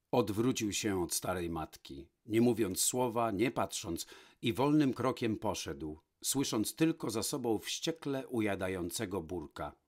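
A man narrating a fairy tale from a script, with measured, dramatic pauses. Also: on the low side. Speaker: storyteller, narrator.

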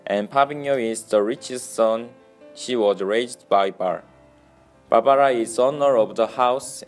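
A young man answers interview questions in simple, halting English. His voice is mid-pitched, and faint music plays behind him. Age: 20s. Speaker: student interviewee.

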